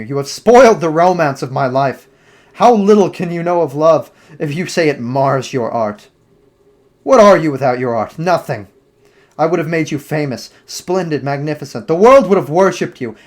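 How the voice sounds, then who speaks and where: Man doing a cruel young gentleman, bitter, scornful, cold, contemptuous. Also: a little high, natural voice.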